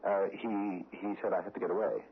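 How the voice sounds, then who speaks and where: An actor playing a timid, fussy middle-aged bookkeeper who stammers nervously, in an old radio drama. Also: male voice, low voice, fairly even intonation, brisk, slightly rough. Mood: fretful. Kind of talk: 1970s radio drama acting. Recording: tinny old radio broadcast.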